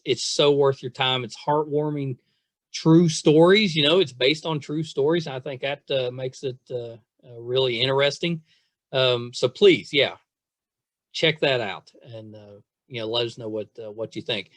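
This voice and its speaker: A man hosting a podcast in casual chat, gushing like an enthusiastic fan in halting, restarting sentences. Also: mid-range voice, a bit bright, dry recording. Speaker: podcast host.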